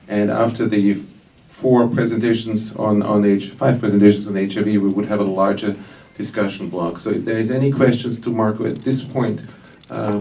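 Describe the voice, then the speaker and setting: A man's voice: fairly low pitch, darkish tone. A man moderating a conference session, organizing questions and often repeating words as he goes. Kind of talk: chairing a meeting. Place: conference meeting room. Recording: distant mic, faint noise.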